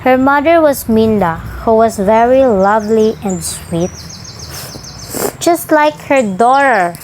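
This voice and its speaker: A woman's voice: on the high side, lively intonation, takes it slowly, a little mellow, slight background noise, poor audio. A woman reading folktales aloud as scripted narration. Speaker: storyteller.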